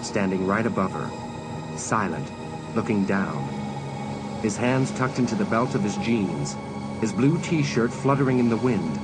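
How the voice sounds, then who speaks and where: Low-voiced, expressive male audiobook narrator. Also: unhurried, over loud music, rough recording. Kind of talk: scripted dramatic audiobook narration.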